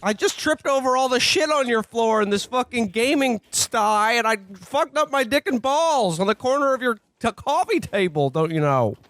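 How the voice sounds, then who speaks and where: Very high-pitched stammering everyman. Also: animated, moderate pace, few pauses, fairly bright tone. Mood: flustered. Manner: stuttering, repeats words. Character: panicky, stammering, earnest old-time everyman hero. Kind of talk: comedic impression of old movie acting.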